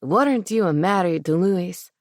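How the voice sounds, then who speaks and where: Woman voicing an older Italian-accented patriarch, stern, measured, commanding. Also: fairly low pitch, lively intonation, no room sound, clean studio sound, lightly acted. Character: older Italian man, stern crime family head.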